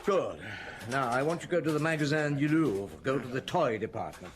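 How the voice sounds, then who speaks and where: An actor playing a middle-aged chief inspector in an audio drama, gruff and wry, with muttered hesitations and terse orders. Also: male voice, medium pitch, animated, fairly quick, natural voice. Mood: brisk, musing.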